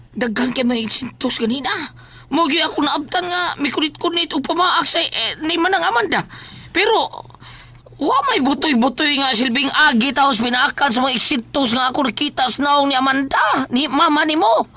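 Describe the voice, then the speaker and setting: A man voicing an excitable, nosy, comical neighbour in a horror audio drama, chattering and yelping with a Cebuano Filipino accent. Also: very high voice, vocal fry, a little hiss or hum, exaggerated voice.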